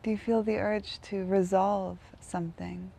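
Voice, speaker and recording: Female meditation guide: soft-toned and relaxed, gravelly fry, gentle unhurried phrasing with long gaps. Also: medium pitch.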